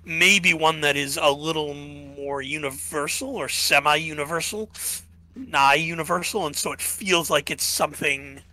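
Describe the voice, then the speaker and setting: Man, podcast co-host, bright tone, fairly high, losing his voice, musing. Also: a little slow, rough recording. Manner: thoughtful, musing, frequent "right?" checks. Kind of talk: casual philosophical discussion.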